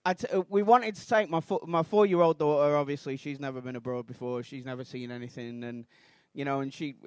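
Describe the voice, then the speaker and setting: A Mancunian man in his thirties doing a rambling, self-deprecating stand-up routine on a comedy club stage, bursting into shouted outrage. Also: medium pitch, fairly even intonation, brisk, clear, resonant tone, dry recording. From Manchester, England.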